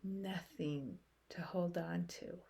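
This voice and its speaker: A woman leading a guided meditation in a soothing, unhurried way, with long pauses between short phrases. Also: lowish voice, fairly even intonation, gravelly, warm tone, slightly rough.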